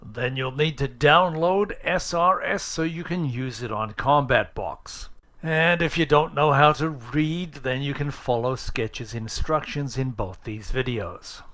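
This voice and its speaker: A man's voice: medium pitch, unhurried, a bit bright. A man narrating a how-to guide in a casual but scripted, plain-spoken and instructive way. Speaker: tutorial presenter.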